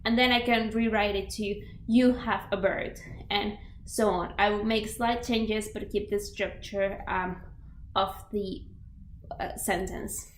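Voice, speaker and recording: A woman's voice: mid-range voice, fairly even intonation, slow pace, a little hiss or hum. A young woman with a Spanish accent chats through language-learning advice in a mid-range voice, leaning on "like" and "um" as she works through examples. The room around her is noticeably echoey. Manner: chatty, filler-heavy, explains with examples. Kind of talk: casual instructional talk.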